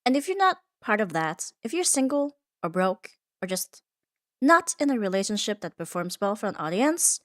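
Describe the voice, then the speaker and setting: A woman's voice: mid-range voice, very animated, moderate pace, a bit bright, dry recording. Woman, earnest ASMR monologue, very dry sound. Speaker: ASMR audio performer. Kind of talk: scripted comfort ASMR monologue.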